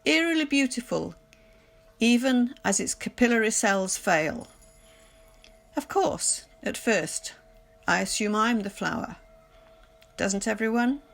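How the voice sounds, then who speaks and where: A woman recites poetry at an unhurried, deliberate pace. Her mid-range voice has a strong creak, and faint music plays behind her. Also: a little high, animated, fairly bright tone. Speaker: poetry reader.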